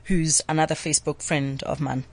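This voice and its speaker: A woman's voice: deep voice, steady tone, brisk, gravelly. A South African woman hosting a talk show, nasal from recovering flu, speaking hesitantly with many fillers and restarts.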